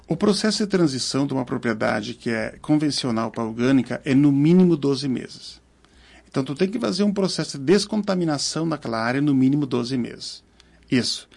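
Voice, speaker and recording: A man in his fifties with a southern Brazilian accent, answering interview questions earnestly and haltingly, repeating words as he searches for phrasing. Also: medium pitch, animated, creaky, darkish tone. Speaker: interview guest, organic farmer.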